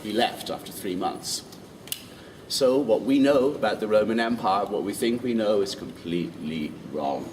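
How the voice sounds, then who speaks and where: A man guiding a walking tour outdoors, lively and quizzing the group with questions. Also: fairly low pitch, normal speed. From Ireland. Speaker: tour guide. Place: outdoors in old city streets. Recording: distant mic, street noise.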